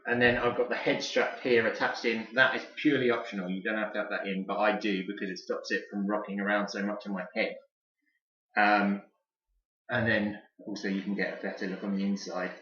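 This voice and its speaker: A man's voice: on the low side, level tone, a little fast, pushed and bright. A British man giving a casual, unscripted product review as host, chatty and informal like an enthusiastic fan. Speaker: toy reviewer and video host. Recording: echoey room, slightly dull sound.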